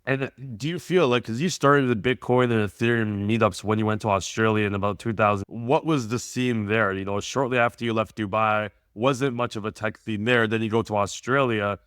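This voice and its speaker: A man's voice: on the low side, steady tone, fairly quick, few pauses, fairly bright tone, clear, resonant tone, dry recording, studio quality. A man hosting a podcast interview, asking long multi-part questions. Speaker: podcast host.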